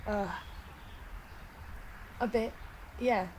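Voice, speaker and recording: A woman playing an anxious, earnest archivist haunted by supernatural fears, speaking haltingly in a conversational audio drama. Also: mid-range voice, fairly even intonation, darkish tone, slight background noise. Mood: uneasy, guarded.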